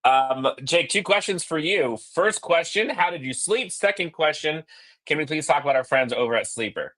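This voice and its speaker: A man in his thirties co-hosting a sports podcast from a hotel business center over a laptop call, a little hoarse, quick and joking. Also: on the high side, animated, a bit bright. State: slightly hoarse voice. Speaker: podcast co-host. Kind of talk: casual sports podcast chat. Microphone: laptop on video call.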